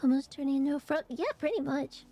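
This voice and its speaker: A young woman streamer chatting casually and rambling with fillers, her voice a little hoarse and raspy from allergies. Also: high voice, steady tone, fast, dark tone. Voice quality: raspy, phlegmy throat. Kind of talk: casual chat to stream viewers.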